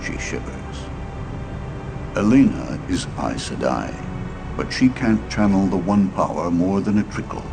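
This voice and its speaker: A solemn storyteller narrates a fantasy game legend in a very low register. The delivery is portentous and dramatic, with a gravelly edge, over loud music. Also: male voice, sing-song, a little slow, full character voice.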